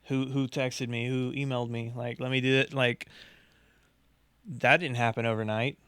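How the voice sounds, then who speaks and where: Casting: man with an even, clear voice, podcast co-host, earnest conversational explainer. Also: mid-range voice, normal speed, no echo. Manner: earnest explaining with frequent "like" fillers.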